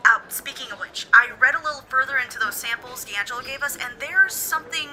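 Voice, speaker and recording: A woman's voice: high-pitched, fast talker, sharp tone, low-quality recording. A woman playing a chatty, hesitant police records contact, speaking informally and expressively. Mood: hesitant, intrigued.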